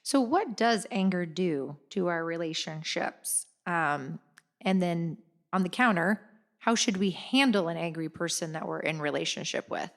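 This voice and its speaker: A woman's voice: lowish voice, animated, measured pace. A woman cohosting a podcast, asking questions and giving brief affirmations in casual conversation.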